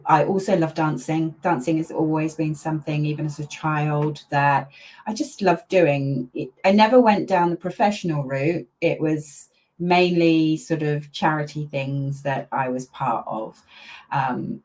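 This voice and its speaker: An older woman, a grandmother who grew up in Malaysia, talks about her life in a low, easy voice. She chats casually with plenty of fillers, laughing now and then, like a guest on a video call. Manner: chatty, warm laughs, many fillers. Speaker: parenting coach, interview guest.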